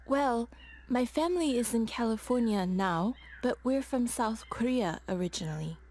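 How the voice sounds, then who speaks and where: Woman voicing a young female student, friendly, clear careful delivery, slightly high voice. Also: animated, moderate pace, darkish tone, slight background noise, natural voice. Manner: clear, carefully spoken textbook dialogue. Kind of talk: scripted coursebook audio dialogue.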